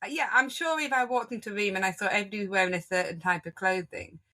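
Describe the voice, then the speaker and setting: A woman's voice: medium pitch, fast, bright, forceful tone. A woman with a Yorkshire accent hosting a podcast interview, chatty and reflective, often restarting her sentences. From Yorkshire, England. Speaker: podcast host.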